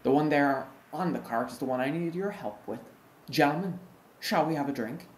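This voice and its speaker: An actor with an Irish accent playing a sly, vengeful, mock-polite leprechaun in a radio mystery play. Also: male voice, on the high side, normal speed, close to an everyday voice. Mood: genial, sly. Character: sly, vengeful male leprechaun posing as a workman. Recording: roomy, distant, with faint background noise.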